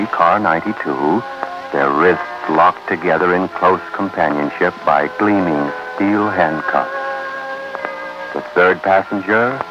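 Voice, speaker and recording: A man with a very deep voice delivers scripted suspense narration, slow and solemn. Every phrase is deliberate and dramatic. The muffled 1950s radio sound carries prominent music behind him.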